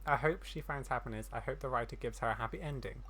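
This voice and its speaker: Game streamer, mid-range voice: casual commentary, reads on-screen text haltingly, self-deprecating. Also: mid-range voice, background noise. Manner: stumbles while reading aloud, self-deprecating. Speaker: gaming streamer. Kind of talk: casual game playthrough commentary and reading dialogue aloud.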